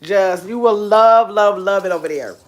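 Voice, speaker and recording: A woman in her fifties, chatting casually on a livestream from her bedroom, reading viewer comments aloud in an animated, talkative way. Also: mid-range voice, dull audio. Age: 50s. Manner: chatty, animated, drawn-out exclamations. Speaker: livestream host. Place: bedroom at home, indoors. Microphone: phone held close at arm's length.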